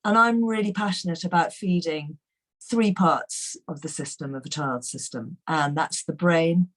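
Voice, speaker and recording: A middle-aged woman speaks in a low, hoarse, croaky voice. She gives a chatty, enthusiastic webinar talk, dotted with fillers. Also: moderate pace. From England. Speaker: guest nutrition expert.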